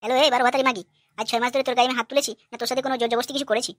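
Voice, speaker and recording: Woman doing a rapid-fire, brash young son-in-law. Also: a little high, steady tone, no room sound, studio quality, natural voice. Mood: angry, ranting. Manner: brash, ranting. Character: foul-mouthed, hot-tempered, aggrieved young son-in-law. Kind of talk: cartoon comedy dialogue.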